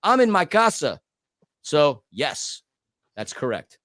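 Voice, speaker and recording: A man's voice: a little high, very animated, slow, a bit bright, no room sound, studio quality. A man whose first language is Romanian, raised in New York, chatting enthusiastically as a podcast panelist. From Queens, New York. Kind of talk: casual podcast discussion.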